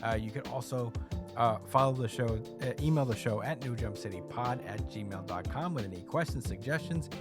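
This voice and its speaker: A man's voice: mid-range voice, normal speed, music in the background. A man co-hosting a podcast in casual chat. He laughs easily and keeps a loose, chatty flow. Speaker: podcast co-host.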